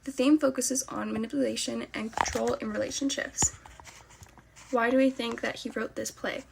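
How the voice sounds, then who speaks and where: A woman's voice: fairly high pitch, fairly even intonation, normal speed, vocal fry, background noise. A woman student presenter giving a spoken introduction to a drama scene.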